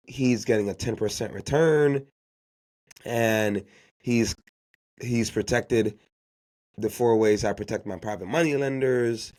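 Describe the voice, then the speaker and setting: A man's voice: mid-range voice, a little mellow. A man who grew up speaking Haitian Creole gives unscripted motivational business advice as a podcast host, emphatically repeating key phrases and posing rhetorical questions.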